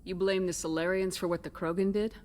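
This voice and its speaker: A calm military commander from a sci-fi game, played by an actor, supportive and measured. The voice asks probing questions, with noticeable ambient noise behind it. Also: mid-range voice, close to an everyday voice. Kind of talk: scripted video game dialogue.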